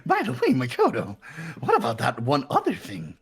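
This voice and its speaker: Someone voicing a cackling, gravelly bear villain. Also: medium pitch, wildly swooping pitch, normal speed, fairly bright tone, full character voice. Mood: taunting. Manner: taunting, giggling, sing-song. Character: mocking sadistic male bear mastermind. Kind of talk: fan dub of a video game.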